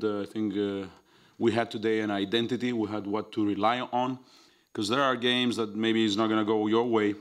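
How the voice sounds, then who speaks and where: A man in his forties, a head coach speaking at a post-game press conference in a measured, precise way, recalling numbers aloud. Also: on the low side. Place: press room at a basketball arena. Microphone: table mic on a stand.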